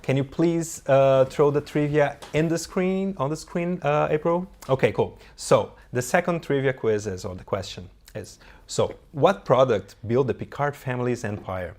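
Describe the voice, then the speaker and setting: A man with a Brazilian accent hosting a live book club stream, talking in a relaxed, chatty way with fillers and restarts. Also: medium pitch, moderate pace. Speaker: livestream host. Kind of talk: casual live chat.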